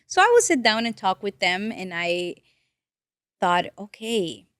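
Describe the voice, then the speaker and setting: A woman's voice: medium pitch, a little slow, no echo, studio quality. A woman from Peru, a native Spanish speaker in her late twenties, telling her story earnestly as a guest financial advisor. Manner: earnest, animated storytelling with restarts. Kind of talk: casual interview talk.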